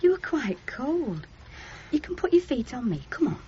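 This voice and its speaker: An actress playing a troubled, tender but easily hurt young wife in a 1970s radio drama. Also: female voice, high-pitched, big pitch swings, normal speed, dark tone. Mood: tender, soothing. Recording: dull old radio recording, faint noise.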